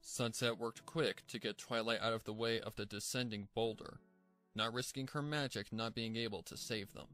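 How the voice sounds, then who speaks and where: A man narrating a dramatic scripted fan fiction story in a measured, theatrical way. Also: mid-range voice, steady tone, fairly bright tone. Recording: music bed behind the voice. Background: background music.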